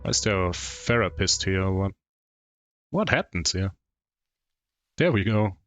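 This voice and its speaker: A man's voice: low voice, lively intonation, measured pace, a bit bright, faint music behind. A man with a German accent streaming a game, casually commenting and thinking aloud with hums.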